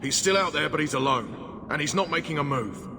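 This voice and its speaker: A man's voice: a little high, brisk, vocal fry, a crowd in the background. An actor playing a nobleman's henchman in a fantasy video game. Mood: wary. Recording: loud music behind. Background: game music.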